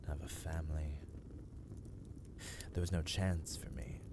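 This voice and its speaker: A man playing a brooding, world-weary vampire in a slow, dark ASMR roleplay, theatrical and often slipping into breathy whispers. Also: deep voice, slight background noise. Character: brooding, world-weary male vampire, cursed castle master.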